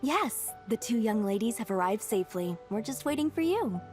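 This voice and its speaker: A dutiful shrine attendant, reports politely and briskly in a fairly high voice. Faint game music sits under her. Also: very animated, a little mellow. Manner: polite, businesslike. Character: efficient young female shrine attendant, dutiful. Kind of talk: video game voice acting.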